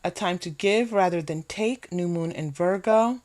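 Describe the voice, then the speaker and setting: A woman with a slightly low voice reads tarot cards for the viewer. She talks off the cuff in a musing way, circling back to repeat phrases for emphasis. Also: moderate pace, darkish tone. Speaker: tarot reader. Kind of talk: intuitive tarot card reading, addressed to viewer.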